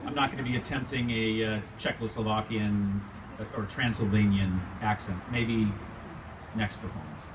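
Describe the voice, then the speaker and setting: A man's voice: fairly low pitch, steady tone, normal speed. A man with a Californian accent giving an informal spoken introduction before performing his own reading. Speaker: writer and performer of a monologue. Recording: distant live room recording, faint noise.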